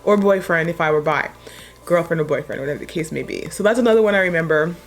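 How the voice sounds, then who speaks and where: A young woman with a deep voice chatting casually as a makeup vlogger, low-key and chill but blunt when she makes a point. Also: fast talker, soft background music. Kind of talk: casual chat while doing a makeup tutorial.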